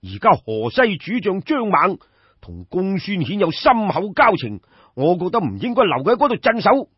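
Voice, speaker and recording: A man's voice: sits high, wildly swooping pitch, normal speed, husky, no room sound, lo-fi sound, voice pushed up, full character voice. A man with a Cantonese accent voicing an eager, hot-headed young prince of ancient China, urgent and insistent, in an audio story. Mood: earnest.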